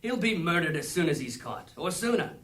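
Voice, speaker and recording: A man's voice: fairly high pitch, very animated, fairly quick, fairly bright tone. A man playing a principled, disapproving priest in a fantasy audio drama, speaking earnestly. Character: principled, grumbling male priest, troubled by injustice.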